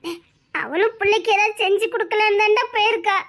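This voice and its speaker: A woman acting as a firm, comforting woman in a family drama. She scolds and reassures in turn, with a voice pitched very high. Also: steady tone, fast, a bit bright. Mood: reassuring. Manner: scolding yet reassuring, calls him "daa". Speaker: relative consoling a grieving man.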